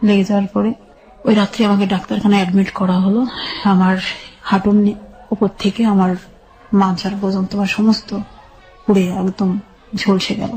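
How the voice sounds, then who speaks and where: Sombre, even-toned Bangladeshi woman. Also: medium pitch, normal speed, long pauses, poor audio. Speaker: guest telling her life story. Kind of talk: personal testimony in a radio interview.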